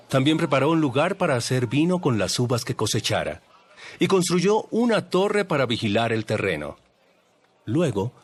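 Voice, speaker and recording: An actor playing a calm, wise teacher who tells parables in a measured way. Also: male voice, medium pitch, slightly rough. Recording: voice over light background music.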